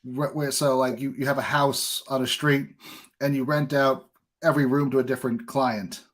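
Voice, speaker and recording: A man's voice: medium pitch, little pitch movement, moderate pace. American man, casual podcast host. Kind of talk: casual conversational interview.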